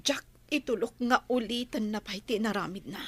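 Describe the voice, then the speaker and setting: A woman's voice: on the high side, moderate pace, slightly rough, no echo. A woman with an Ilocano Filipino accent playing an earnest young woman doctor, torn but firm, in a radio drama. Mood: worried.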